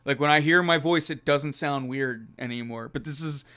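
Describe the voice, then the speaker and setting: A man hosting a casual live stream from a quiet home room on a condenser mic, rambling and self-deprecating with frequent laughs. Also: fairly high pitch, moderate pace, clear, resonant tone. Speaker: host of a live stream show. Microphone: USB condenser mic.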